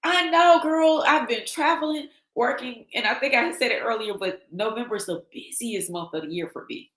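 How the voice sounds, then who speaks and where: A woman with a slightly raspy, travel-worn voice hosts a casual livestream and chats with viewers. She talks in an animated way and reads their comments aloud. The sound is echoey, as if recorded in a bare room. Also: on the high side, normal speed. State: losing her voice from travel. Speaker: livestream host. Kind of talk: casual livestream sign-off chat.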